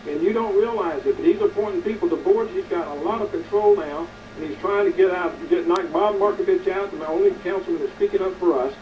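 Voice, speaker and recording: A man's voice: sits high, quick pace, hardly any pauses. Indignant man delivering a preachy commentary. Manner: indignant, preachy, many hesitations. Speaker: community activist hosting a local show. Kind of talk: editorial commentary to camera. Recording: distant, dull, slightly noisy recording.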